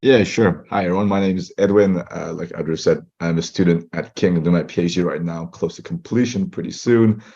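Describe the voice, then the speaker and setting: A young man with a very deep, husky voice talks quickly through his story as a podcast guest. The audio is dull and muffled. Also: darkish tone. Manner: fast, chatty storytelling. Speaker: guest, startup co-founder. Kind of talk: casual interview chat.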